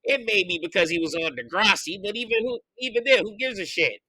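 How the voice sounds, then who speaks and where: A man hosting a podcast, congested from a recent illness, venting his opinions in a loose, profane, animated way. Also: high voice, fast talker, no room sound. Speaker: podcast host. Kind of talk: casual opinion commentary.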